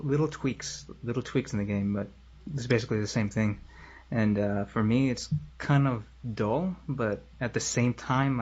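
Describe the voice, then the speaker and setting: A man speaking casually as a podcast guest, halting as he gives his opinion, on lo-fi audio. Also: medium pitch, a little mellow.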